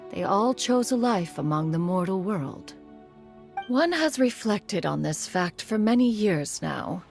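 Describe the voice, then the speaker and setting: Proud immortal mistress, formal, lofty, mid pitch, animated, video game, faint music behind. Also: female voice. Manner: formal, haughty, refers to herself as "one". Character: proud, dignified immortal female master, caring elder.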